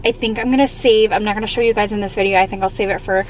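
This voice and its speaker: A woman's voice: medium pitch, fairly even intonation, racing, slight background noise, poor audio. A young woman chatting in an upbeat, casual way as a vlogger, filming herself at arm's length inside a parked car. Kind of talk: casual vlog chat. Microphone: camera held at arm's length.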